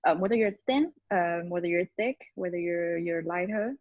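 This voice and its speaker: A woman's voice: lowish voice, normal speed, no echo. A woman chatting casually as a guest in a discussion. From Myanmar. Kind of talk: casual chat. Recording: slightly dull, dry lo-fi sound.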